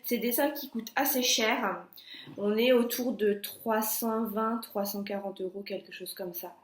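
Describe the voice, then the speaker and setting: A woman's voice: medium pitch, fairly even intonation, measured pace, roomy sound. A young woman vlogging casually with a stuffy, nasal voice from a cold, talking in a chatty way with many hesitations and restarts. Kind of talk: casual chat.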